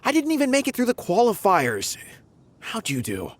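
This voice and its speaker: An actor playing a disappointed, easygoing card player in scripted video game dialogue. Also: male voice, high voice, huge pitch swings, normal speed, a little hiss or hum. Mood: casual, deflated.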